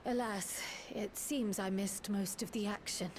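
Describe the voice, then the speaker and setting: An actress voicing a polite, gentle young woman scholar in a video game, speaking courteously. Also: medium pitch, fairly even intonation, measured pace, lightly acted. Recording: game audio with faint noise.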